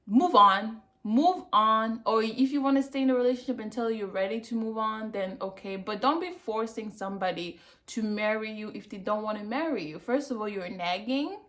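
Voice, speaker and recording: A woman's voice: on the high side, lively intonation, normal speed, hardly any pauses, fairly bright tone. Emphatic woman vlogging. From Cameroon. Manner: emphatic, repeats herself for effect. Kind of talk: casual TV show review.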